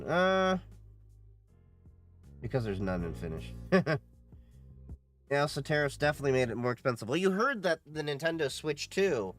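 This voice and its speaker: A man's voice: mid-range voice, a bit bright, no room sound. A man hosting a casual livestream, reading chat questions aloud and giving opinionated answers.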